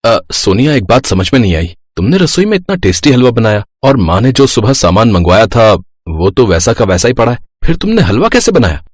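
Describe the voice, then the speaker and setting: An actor playing a suspicious but meek young husband in a story, with a husky, hesitant voice. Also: male voice, mid-range voice, big pitch swings, brisk, no echo, studio quality. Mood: puzzled.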